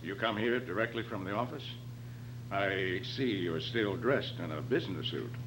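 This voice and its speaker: A man playing a brisk, level-headed private detective who questions with authority in an amateur radio drama. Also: on the low side, natural voice. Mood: firm, questioning. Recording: noisy amateur recording with hum.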